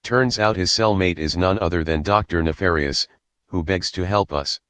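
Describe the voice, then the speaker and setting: Man, very low voice, dry close recording, reading a story script aloud as narrator. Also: normal speed, fairly bright tone, clear, resonant tone, dry recording.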